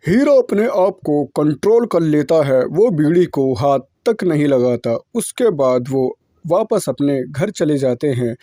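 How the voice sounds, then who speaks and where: A male storyteller with a fairly high but mellow voice narrates a cartoon moral tale. The recording is very dry. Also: lively intonation, unhurried, no room sound.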